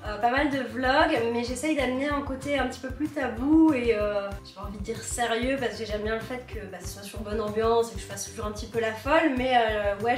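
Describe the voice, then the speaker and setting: A chatty, playful woman talks informally as a video guest. Her voice is slightly high and a bit away from the mic, with clear music running behind it. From Mons, Belgium. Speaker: guest YouTuber. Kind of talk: casual chat. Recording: echoey room, distant mic, music underneath. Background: background music.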